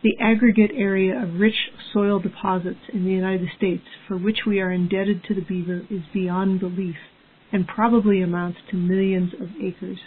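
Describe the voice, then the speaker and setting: A woman's voice: mid-range voice, level tone, moderate pace. A middle-aged woman reading a nature book aloud. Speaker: geology professor, reader. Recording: phone-like sound with clear background noise.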